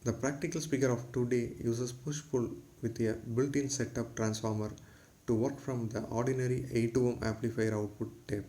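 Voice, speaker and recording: A man's voice: medium pitch, dark tone. A man giving a formal, scripted lecture, read aloud in a textbook-like way.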